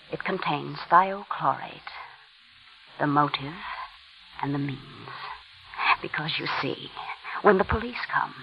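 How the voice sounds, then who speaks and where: A woman's voice: low voice, gravelly, exaggerated voice. An actress playing a bitter, desperate woman, composed but anguished beneath, in 1940s radio drama style. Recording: muffled vintage radio recording with music.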